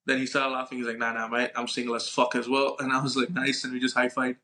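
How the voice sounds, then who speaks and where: Fast-talking young man telling stories. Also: medium pitch, completely flat delivery, pushed and bright. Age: young adult. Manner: informal, slangy, acts out dialogue, frequent "right?" tags. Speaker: podcast co-host. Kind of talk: casual anecdotal storytelling with profanity.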